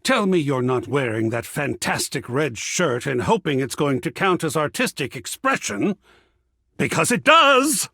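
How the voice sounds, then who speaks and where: Haughty male art critic, video game character, slow arch delivery, gravelly rasp, dramatic swoops. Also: a little high, big pitch swings, pushed and bright, dry recording, clean studio sound, exaggerated voice. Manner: theatrical, drawn-out, arch. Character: flamboyant, haughty male art critic, living marble statue.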